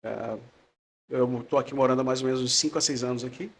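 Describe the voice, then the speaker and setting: A young man with a Maranhão accent tinged with São Paulo speech, a guest on a podcast telling his story in a friendly, relaxed way and laughing often. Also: mid-range voice, fast, a little hiss or hum. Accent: Maranhão Brazilian Portuguese, mixed with São Paulo. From São Luís, Maranhão, Brazil. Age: young adult. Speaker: guest giving testimony.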